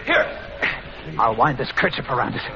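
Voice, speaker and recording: An actor playing a tense, steady man narrating his ordeal in an old radio drama, with suspenseful, deliberate phrasing. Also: medium pitch, a loud crowd. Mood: grim, tense. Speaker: narrator and lead character. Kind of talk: 1950s radio drama first-person narration. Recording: dull, noisy old radio recording.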